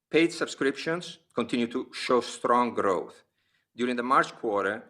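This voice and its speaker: A man, a company executive, reading prepared remarks on an earnings call, giving figures in a measured, steady delivery with brief pauses between list items. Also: mid-range voice. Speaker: company executive presenting quarterly results. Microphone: conference call line.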